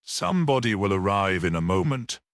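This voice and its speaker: A man's voice: on the low side, very animated, dry recording, clean studio sound. Casting: man reading as a storybook priest, equable, weighty and wry, with varied intonation. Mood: weighty reserve. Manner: grave, equable, deliberate. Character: calm, weighty, wry male village priest.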